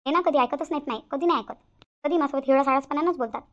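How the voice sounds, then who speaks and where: Woman acting fast, high-pitched blunt sister-in-law. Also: close to an everyday voice. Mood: grumbling. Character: blunt, quarrelsome young sister-in-law at a family engagement. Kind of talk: Marathi family comedy cartoon dialogue. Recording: slightly dull lo-fi audio.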